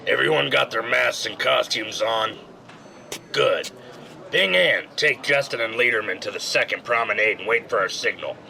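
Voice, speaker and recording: A man's voice: mid-range voice, expressive, normal speed, vocal fry, bright, forceful tone, slight background noise, heavily acted. An actor playing a commanding male pirate captain giving orders to his crew.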